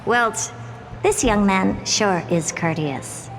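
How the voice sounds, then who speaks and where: An actress playing a confident, haughty woman scientist who gives brisk, commanding orders in a video game cutscene. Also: mid-range voice, poor audio. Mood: commanding, pleased. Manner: brisk, commanding, self-assured. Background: loud music.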